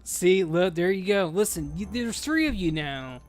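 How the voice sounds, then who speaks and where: A man in his thirties chatting casually while streaming a game with friends, loud and excitable and swearing freely. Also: sits high, moderate pace, faint music behind. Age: 30s. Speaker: streamer leading a game group. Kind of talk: casual live gameplay chat.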